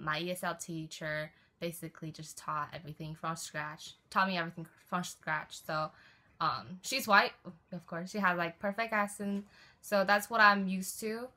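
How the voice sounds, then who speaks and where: A woman's voice: fairly low pitch, sharp tone. A woman, casually answering interview questions with frequent ums. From China. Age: 20s. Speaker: student interviewee.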